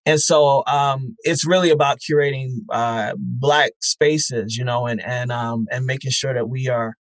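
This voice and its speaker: A man speaking as an interview guest in a reflective, conversational way, earnest and halting, repeating words as he thinks. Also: mid-range voice, fairly even intonation, no echo. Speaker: interview guest, festival founder.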